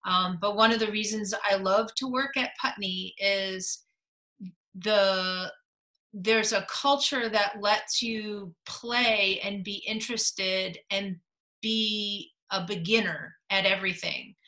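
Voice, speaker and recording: A woman dean of faculty answering a question at an online open house, reflective and unhurried. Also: mid-range voice, steady tone, sharp tone, rough recording.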